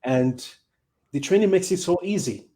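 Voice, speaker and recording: A man guesting on a casual talk show, relaxed and using "you know" a lot. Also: high-pitched, moderate pace. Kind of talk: casual chat.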